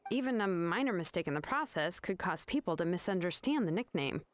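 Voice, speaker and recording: A woman's voice: lowish voice, animated, brisk, natural voice. A woman playing a composed young craftswoman in a video game, laid-back yet exacting, explaining things casually. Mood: matter-of-fact, explaining. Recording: game music behind the voice.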